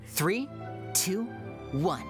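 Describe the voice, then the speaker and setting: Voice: medium pitch, sing-song, drawn-out words, over loud music. An actor voicing a suave, teasing young male stage magician in a video game, courteous and playful. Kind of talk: animated video game voice acting.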